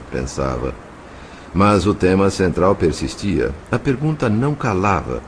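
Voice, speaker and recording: A man with a Brazilian accent narrating a novel as an audio drama, in a measured, literary storytelling style. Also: low-pitched, a little hiss or hum. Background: music.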